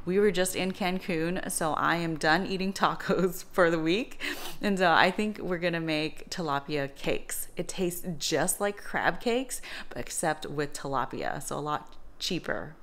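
A woman's voice: fairly low pitch, measured pace, a bit bright. A woman in her thirties explaining home cooking in an informal, conversational vlog style. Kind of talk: casual vlog explanation to camera.

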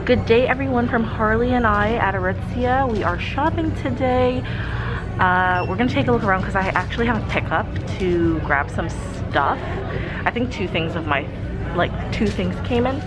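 A woman's voice: medium pitch, animated, moderate pace, music in the background. A young woman in her twenties chatting casually through a clothing try-on vlog, rambling with fillers as she films on a handheld camera in a shop. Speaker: fashion vlogger. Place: clothing store, indoors.

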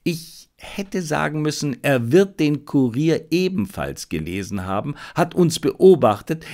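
A man voicing a confident, analytical detective in an audiobook, explaining things emphatically and deliberately. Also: medium pitch, a little mellow.

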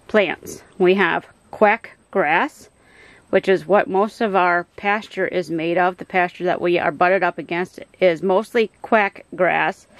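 A middle-aged woman walks her garden and talks through each plant in a relaxed, explanatory way, dropping plenty of ums and uhs. She's filming outdoors on a handheld phone, her voice sitting at an ordinary middle pitch. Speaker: homestead gardener giving a garden tour. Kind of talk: casual garden tour narration. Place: outdoor backyard garden and greenhouse.

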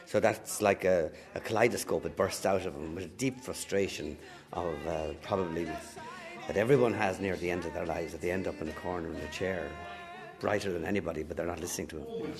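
A man reflects in an interview. His voice is fairly low, and he feels his way haltingly toward each thought. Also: faint music behind. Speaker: actor interviewed.

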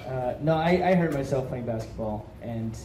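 A man's voice: mid-range voice, expressive, a little slow, far from the mic. A man on a convention panel, joking and laughing as he talks. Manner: jokey, laughing. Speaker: actor on a panel. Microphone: handheld mic. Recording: echoey tent with faint noise.

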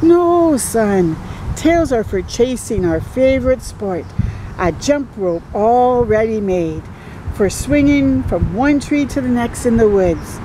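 A woman voicing a patient, playful daddy monster, gently explaining things to his young son in storybook rhyme. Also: fairly high pitch, expressive, slow, slight background noise, rough recording.

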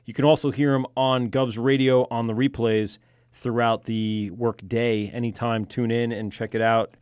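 A man's voice: mid-range voice, level tone, normal speed, darkish tone, clear, resonant tone, dry recording. A man hosting a casual podcast chat in an informal, joking, rambling way, close on a studio microphone. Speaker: podcast host. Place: small radio studio. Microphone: close studio microphone on boom arm.

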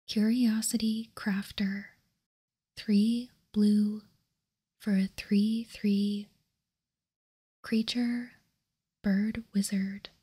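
Slow, breathy whispering woman, ASMR. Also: mid-range voice. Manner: hushed, calm, deliberate. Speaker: host of a card game ASMR channel. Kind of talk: ASMR, softly whispered card-by-card commentary.

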